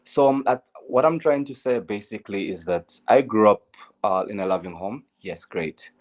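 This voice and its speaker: A man's voice: fairly low pitch, normal speed, lo-fi sound. A young man with a Zambian accent, a guest in an interview, speaking earnestly and candidly about his life, with frequent fillers and set phrases. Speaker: guest, LGBTQ advocate. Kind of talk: interview, personal story.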